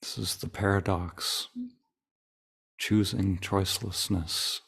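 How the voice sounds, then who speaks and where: A man leading a guided meditation as a teacher, speaking calmly and deliberately in short phrases with long pauses. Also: deep voice, gravelly, slightly rough.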